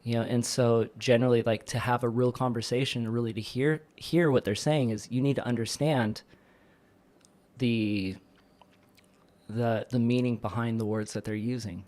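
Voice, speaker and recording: A man's voice: medium pitch, steady tone, moderate pace, darkish tone. A man talking as a podcast guest, earnest and searching for the right words. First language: English. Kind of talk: casual chat.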